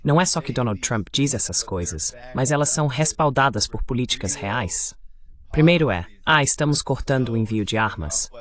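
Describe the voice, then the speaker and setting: A man's voice: mid-range voice, lively intonation, normal speed, a bit bright. A man gives political commentary. The delivery is that of a translated voice-over for a panel discussion.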